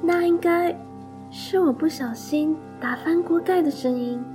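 A woman playing a gentle, conciliatory young student in a mystery radio drama. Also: very high-pitched, a little slow, over music. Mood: defensive.

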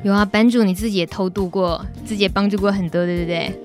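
A woman's voice: medium pitch, steady tone, quick pace, over music. A woman with a Taiwanese Mandarin accent hosting a chatty radio question-and-answer show. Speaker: radio host.

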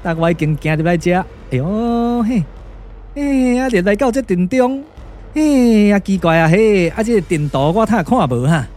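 A man's voice: high voice, animated, normal speed, a little mellow, faint music behind. A man voicing a cowardly comic impostor, muttering and whining nervously in a Taiwanese puppet radio drama. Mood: nervous, puzzled. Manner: muttering, chattery, whiny. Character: cowardly comic male impostor disguised as a strategist.

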